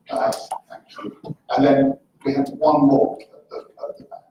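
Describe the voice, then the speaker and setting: A man moderating a live panel discussion in a conference room, polite and a little halting as he calls on speakers. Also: mid-range voice, completely flat delivery, highs cut off. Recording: echoey hall, distant mic, faint noise.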